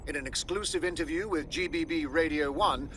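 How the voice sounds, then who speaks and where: A man's voice: on the high side, a little fast, fairly bright tone, a little hiss or hum, close to an everyday voice. An actor playing a formal male radio newsreader. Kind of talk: news read.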